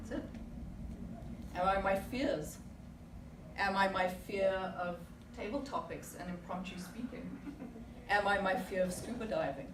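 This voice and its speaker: A woman's voice: medium pitch, measured pace, pauses often. A woman in her forties from South Africa gives a prepared, reflective speech full of rhetorical questions to a club audience. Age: 40s. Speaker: club speaker. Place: meeting room with audience. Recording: echoey room, distant mic, background noise.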